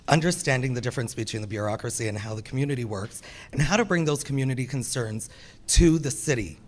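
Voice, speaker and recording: Man, thirties, from Canada, council candidate, earnest rallying speech, medium pitch, community hall mic. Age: 30s. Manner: earnest, repetitive, rallying cadence. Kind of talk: campaign speech at a public meeting. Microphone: wired microphone.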